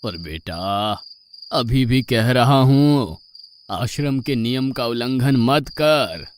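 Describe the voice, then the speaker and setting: A man playing a stern, pious old ashram guru in an animated moral story, admonishing and patient but exasperated. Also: medium pitch, slow, slight background noise. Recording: music bed behind the voice.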